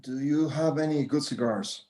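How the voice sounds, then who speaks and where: A man's voice: a little high, moderate pace, dark tone, natural voice. A man playing a proud, courteous old Mexican-American rancher in a screenplay table read, speaking formally. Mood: polite. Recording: echoey room.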